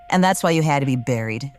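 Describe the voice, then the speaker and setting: Low-voiced, swooping, taunting lady crime boss. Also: heavily acted. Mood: cold, scornful. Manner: taunting, theatrical, hums while eating. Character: smirking, cruel wrestling boss woman with a mocking temper. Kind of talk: noir audio drama acting.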